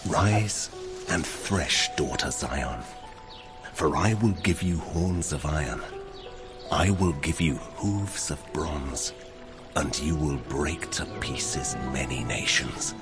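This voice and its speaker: An actor playing the Lord God as a mighty, wrathful deity in a dramatized audio Bible, solemn and commanding. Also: male voice, rumbling low, slow pace. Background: dramatic music.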